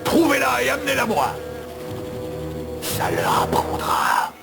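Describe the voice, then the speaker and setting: Arrogant, wildly swooping cartoon usurper. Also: male voice, very high-pitched, wildly swooping pitch, a little slow, vocal fry, exaggerated voice. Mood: furious, commanding. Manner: pompous, insulting, theatrical. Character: arrogant, menacing male usurper posing as a prince. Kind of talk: dubbed animated fantasy film acting. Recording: loud music under the dialogue.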